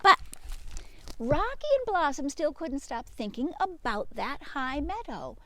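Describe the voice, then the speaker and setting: A woman doing an animated read-aloud for children like a teacher, playful and expressive, with dramatic hushes and emphasis. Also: sits high, measured pace, no room sound.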